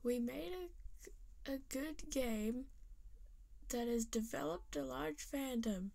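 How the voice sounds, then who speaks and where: High-voiced woman dubbing fan comics. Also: slow pace, gravelly, darkish tone, no echo. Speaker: amateur comic dubber. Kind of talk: reading fan comics aloud, voicing characters.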